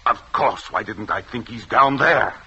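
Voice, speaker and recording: An actor playing a tense, secretive village doctor with a curt, evasive and menacing manner in vintage radio drama. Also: male voice, on the low side, unhurried, bright, forceful tone. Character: tense, secretive village doctor husband hiding murderous intent. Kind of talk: 1940s-50s radio drama acting. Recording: dull, noisy vintage radio recording.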